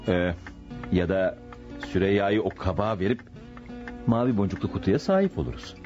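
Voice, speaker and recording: A man's voice: low voice, moderate pace, lightly acted. An actor playing a scheming, greedy middle-aged father in radio theatre, flustered, hesitant and repeating himself. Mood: calculating. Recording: dull lo-fi radio recording, music.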